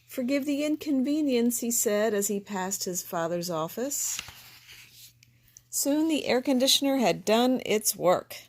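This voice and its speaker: A woman reading a children's picture book aloud in a gentle, expressive way. She narrates slowly and gives each character a voice of its own. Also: mid-range voice. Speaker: storybook reader.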